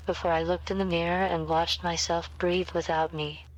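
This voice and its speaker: A woman playing an eerie, unsettlingly calm captive former teacher in a horror story, deliberate and cryptic. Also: fairly low pitch, natural voice, rough recording. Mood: eerie, knowing. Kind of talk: scripted horror acting.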